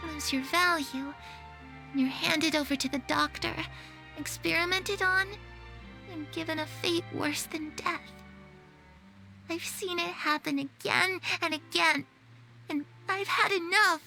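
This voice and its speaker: A woman's voice: very high-pitched, fairly even intonation, measured pace, vocal fry, long pauses, over music, exaggerated voice. A woman playing a disillusioned, secretive young girl in a video game, speaking with wistful, bitter resignation. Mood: bitter, resigned.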